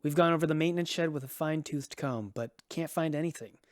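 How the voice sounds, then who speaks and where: An actor playing a worried father and farmer in a sci-fi radio drama, talking in a halting, conversational way. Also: a little high, no room sound, clean studio sound, close to an everyday voice. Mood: concerned, gentle.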